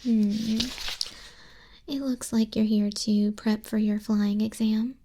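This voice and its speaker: Woman acting as exacting griffin examiner lady, soft ASMR roleplay, breathy, creaky edge, even unhurried delivery, close-up mic. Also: mid-range voice, background noise. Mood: exacting, composed. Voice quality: breathy, hushed. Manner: measured, unhurried, strict examiner tone. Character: female griffin flying instructor, grumpy reputation, exacting and authoritative. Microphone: close binaural microphone.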